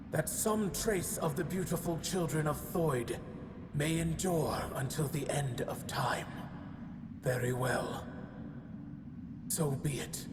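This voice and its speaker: An actor voicing a solemn, aloof otherworldly guardian in a video game, stately and ominous, with phrases trailing into breathy whispers. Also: male voice, a little high. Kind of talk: dramatic video game cutscene acting. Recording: long cavernous reverb, loud ambient noise.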